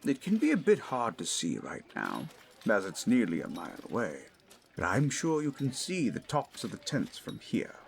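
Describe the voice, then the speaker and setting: An actor playing a learned, eager 1920s English archaeologist and father in an audio drama, middle-aged, speaking in a formal, professorial, deliberate way. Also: fairly low pitch, wildly swooping pitch, vocal fry, slight background noise, exaggerated voice. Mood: measured, confident. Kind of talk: scripted audio drama acting.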